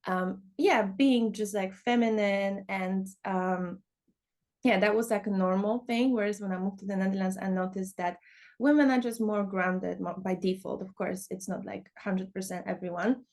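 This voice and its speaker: A young woman, talking as a guest on a podcast. She chats easily, at a middle pitch, and fills her talk with "you know" and "like". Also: steady tone, normal speed, a little mellow. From Poland. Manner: chatty, many fillers like "you know". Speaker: guest, dance teacher. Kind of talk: casual podcast interview.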